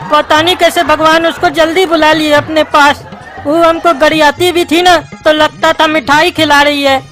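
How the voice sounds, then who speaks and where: A high-pitched cartoon papa played by a woman with a Bihari accent, sentimental and doting. He talks in an exaggerated, plaintive, homespun way, with light music underneath. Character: sentimental, doting widowed father in comic cartoon. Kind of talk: cartoon skit dialogue. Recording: music behind the voice.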